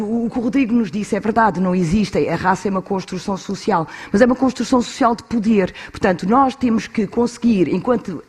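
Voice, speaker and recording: Portuguese woman, European Portuguese accent, husky voice, earnest activist speaker at a conference. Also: lowish voice, a little mellow. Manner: earnest, emphatic, repeats key points. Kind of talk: conference talk.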